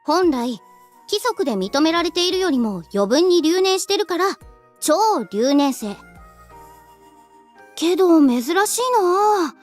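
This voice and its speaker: Very high, chatty anime schoolgirl. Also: moderate pace, pauses often, faint music behind. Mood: gossipy, explaining. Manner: animated, gossipy. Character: chatty, knowing schoolgirl classmate in an anime game. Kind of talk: Japanese visual novel voice acting.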